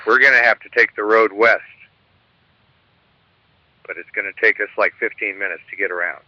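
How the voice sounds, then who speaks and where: Sharp, forceful man acting as a radio firefighter. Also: on the low side, moderate pace, no room sound. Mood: tense, grim. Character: tense male firefighter reporting over radio. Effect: radio filter. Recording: slightly lo-fi radio sound.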